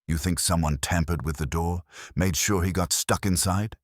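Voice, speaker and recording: A man's voice: low-pitched, moderate pace, no room sound, clean studio sound. A man voicing a cheeky, breezy private investigator in an audiobook mystery. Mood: wry. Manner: breezy, wry. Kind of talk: audiobook character voice.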